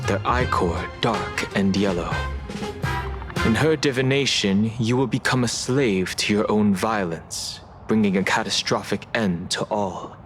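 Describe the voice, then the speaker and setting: An actor playing a solemn, restrained warrior in a video game, speaking gravely and deliberately. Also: male voice, on the low side. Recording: music behind the voice.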